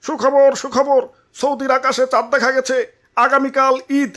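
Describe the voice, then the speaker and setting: A man playing an excited television newsreader in a comic cartoon, announcing. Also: very high-pitched, normal speed.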